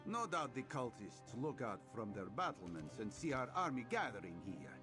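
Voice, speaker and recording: A man's voice: medium pitch, very animated, normal speed, bright, forceful tone. A man playing a suave, affectionate cat-folk rogue in a video game, with a teasing, theatrical way of talking. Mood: earnest, tender. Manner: third-person speech, teasing, theatrical swoops. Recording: game music behind the voice.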